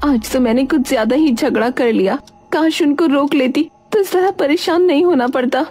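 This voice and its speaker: A woman playing an anxious village woman in an animated horror story. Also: high voice, normal speed, soft background music, natural voice. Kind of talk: acted animated story dialogue.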